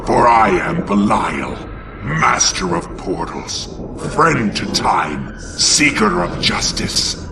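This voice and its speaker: A man's voice: lowish voice, very animated, gravelly, distant mic, background noise, heavily acted. A man playing a bitter, vengeful half-angel, half-demon outcast in a theatrical, sardonic video game cutscene monologue. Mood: seething, vengeful. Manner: theatrical, brooding, deliberate, sardonic.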